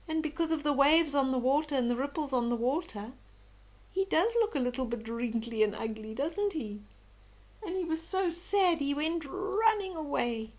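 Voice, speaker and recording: Slow-paced older woman reading a bedtime story. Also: high-pitched. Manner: gentle, slow, expressive, cooing asides. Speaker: storyteller reading a children's book aloud. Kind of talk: bedtime story read-aloud with chatty asides to young listeners.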